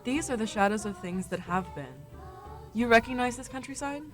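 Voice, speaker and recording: A woman's voice: fairly high pitch, lively intonation, music in the background, lightly acted. A young woman playing the Ghost of Christmas Past in a radio drama, solemn, commanding and formal as she probes with questions. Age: young adult. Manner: formal, measured, probing. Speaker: student actor.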